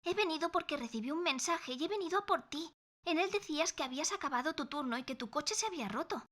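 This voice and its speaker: A woman's voice: high voice, animated, fast talker, fairly bright tone. A woman playing a young, puzzled and concerned daughter in an audio drama, emphatic and questioning, with an accent from Spain. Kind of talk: scripted audio drama dialogue.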